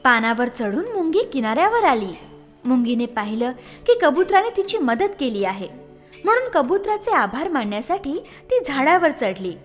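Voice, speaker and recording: A woman narrating a scripted children's story as an expressive storyteller. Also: high voice, moderate pace. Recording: long reverb, music behind. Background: music.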